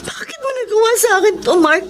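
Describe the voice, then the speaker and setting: A woman's voice: very high voice, animated, fast. A woman playing a betrayed young singer, hurt and accusing, in an emotional Filipino radio drama style. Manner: emotional, melodramatic. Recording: loud music behind the voice.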